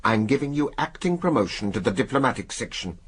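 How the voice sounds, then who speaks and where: An English actor in a radio drama playing a brusque older spy chief, clipped, gruff and commanding. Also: male voice, medium pitch, big pitch swings, quick pace. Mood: brisk, confidential.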